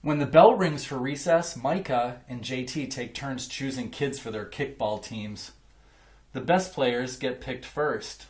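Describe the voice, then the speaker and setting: A man reading a children's picture book aloud in an expressive, emphatic way, like a teacher. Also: medium pitch. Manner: emphatic, acts out dialogue. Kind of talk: expressive children's storybook narration.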